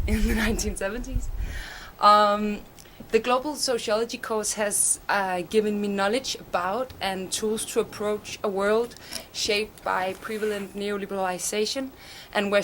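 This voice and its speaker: A woman master's student from Denmark introducing herself hesitantly, with many pauses. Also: medium pitch, fairly bright tone, slight background noise, low-quality recording. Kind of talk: prepared self-introduction.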